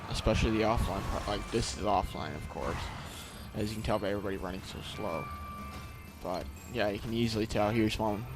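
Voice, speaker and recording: A man's voice: on the low side, a little fast. A man giving casual, unscripted video game commentary in a rambling, slangy, laid-back way. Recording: loud game music and vehicle noise behind. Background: game music and vehicles.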